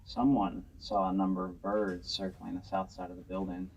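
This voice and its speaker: An actor playing a flat, obedient henchman of a cult, terse and deadpan, caught on a covert recording. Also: deep voice, normal speed, muffled recording. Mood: flat, businesslike. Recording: distant noisy covert recording.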